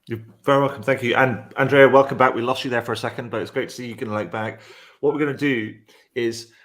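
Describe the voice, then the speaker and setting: A man's voice: medium pitch, fairly even intonation, fast, a bit bright. A man moderating a panel in a casual, rambling way, with informal, complimentary talk.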